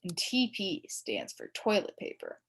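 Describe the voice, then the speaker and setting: A woman narrates a children's storybook with huge swings of pitch. Her voice has a lot of creak and a husky, breathy grain. She reads in a theatrical, emphatic way, taking on a mischievous kid's point of view. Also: wildly swooping pitch, measured pace. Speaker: storybook reader.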